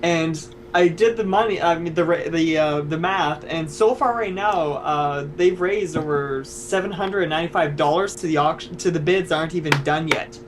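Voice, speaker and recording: A man with a fairly high voice co-hosts a casual podcast, reading listener questions aloud. He stumbles over words and giggles between them. A faint hum runs underneath. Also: moderate pace, few pauses.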